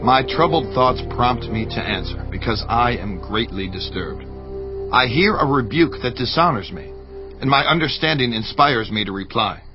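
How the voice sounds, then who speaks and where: An actor playing a troubled, indignant friend of Job who sternly warns of the fate of the wicked, declaiming in a dramatized audio Bible. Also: male voice, medium pitch, lively intonation, normal speed, muffled recording, natural voice. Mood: troubled, offended. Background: faint music.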